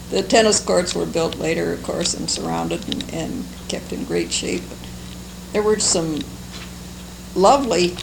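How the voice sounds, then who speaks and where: An older American woman recalling her life for an oral history, speaking in a halting, unhurried, fond way. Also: lowish voice, gravelly. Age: older, seventies or above. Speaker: interviewee, longtime local resident. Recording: noisy amateur oral history recording.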